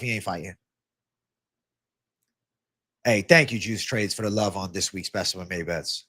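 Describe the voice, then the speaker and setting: Laid-back, congested man with a stuffy nose. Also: medium pitch. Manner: laid-back, slangy, swears freely, calls viewers bro. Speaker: livestream host reading viewer comments. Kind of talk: casual livestream Q&A on fight betting.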